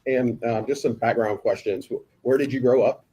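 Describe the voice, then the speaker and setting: A man working as an attorney, questioning a witness in a courtroom in a brisk, polite manner. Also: mid-range voice, steady tone, dark tone, husky, rough recording. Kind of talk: courtroom direct examination.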